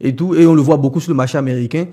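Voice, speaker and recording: A man hosting a business podcast, talking in a relaxed, slangy way. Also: fairly high pitch, rapid-fire, husky. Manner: relaxed, slangy, frequent fillers. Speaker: podcast host, business content creator. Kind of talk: casual podcast conversation.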